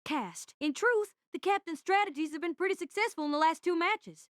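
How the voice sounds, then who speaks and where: A woman's voice: extremely high pitch, a little fast, no room sound, clean studio sound. A woman voicing an agreeable, boyish young male squad mechanic in an audio drama, mild and good-natured.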